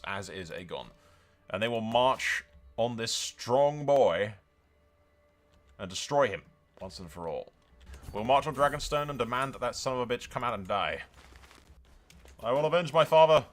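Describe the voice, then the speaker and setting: A man commentates a strategy roleplay game over faint background music. His voice is bright and forceful at a middling pitch. He rambles emphatically, swears freely and breaks into theatrical, in-character declarations. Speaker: gaming content creator and host.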